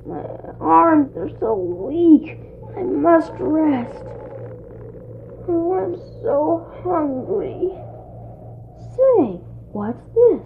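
A high, bright boy's voice, a woman acting out young Jack, earnest and eager, speaking slowly with lots of rise and fall. The sound is thin and tinny, like an old phone line or an old radio broadcast. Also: slight background noise, heavily acted. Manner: earnest, eager boyish delivery.

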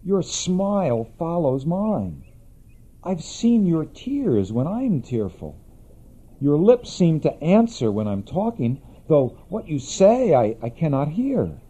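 A man's voice: fairly high pitch, very animated, slow, warm tone, breathy edge, exaggerated voice. An actor playing an anguished young man in love with his own reflection, pleading in an impassioned, declamatory way. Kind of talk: radio drama acting. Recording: dull lo-fi recording.

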